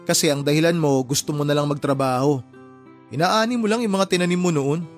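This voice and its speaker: A man's voice: on the high side, brisk, soft background music, lightly acted. An actor playing a candid younger brother in his thirties who chides his sister in a podcast drama. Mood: reproachful. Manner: candid, chiding. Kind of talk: Filipino podcast radio drama dialogue.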